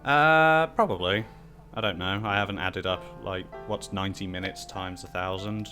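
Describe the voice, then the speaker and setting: A man's voice: deep voice, moderate pace, bright, forceful tone, faint music behind. A man hosting a casual Q&A with friends, reading out viewer questions in a dry, teasing way.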